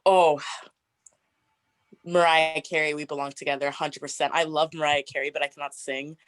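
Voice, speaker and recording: A young woman in her twenties with a deep, raspy voice, chatting casually as an interview guest and laughing often. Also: little pitch movement, moderate pace, no room sound. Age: 20s. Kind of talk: casual get-to-know-me interview.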